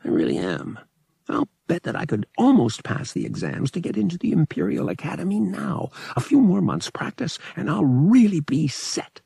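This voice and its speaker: A man voicing a brash young starship pilot in an audiobook, excitable and colloquial. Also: a little high, moderate pace, creaky, breathy edge, dry recording, pitched above the performer's own voice, full character voice. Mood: cocky, friendly. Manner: urgent, colloquial, exclamatory. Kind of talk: audiobook character voice.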